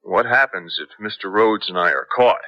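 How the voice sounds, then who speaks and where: A man's voice: sits low, moderate pace, no room sound. An actor playing a worried, guilt-ridden American man eager to help, urgent and questioning, in a 1970s radio drama. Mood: anxious questioning. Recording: dull vintage radio broadcast.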